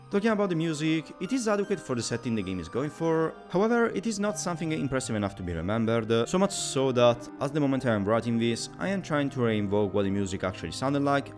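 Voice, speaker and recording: Fast, lively man narrating a scripted review. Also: mid-range voice. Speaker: video game reviewer.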